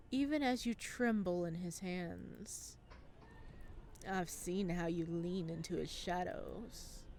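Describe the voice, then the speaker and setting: A woman voicing an inhuman shadow creature in a visual novel, hungry and possessive, with a hushed taunting delivery. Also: lowish voice, slight background noise.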